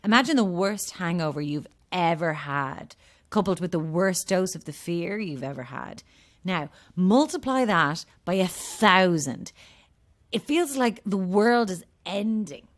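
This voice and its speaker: A woman's voice: lowish voice, lively intonation, measured pace. An actress playing a sardonic, world-weary woman trapped at an endless cursed party, dry and blunt, in an audio drama. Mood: ominous, weary.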